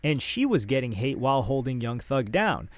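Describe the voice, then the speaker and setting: An American man with a mid-range voice presents celebrity gossip commentary, reading posts out loud between his own remarks. The sound is dry, with very little room in it. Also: mid-range voice, sing-song, normal speed, dry recording.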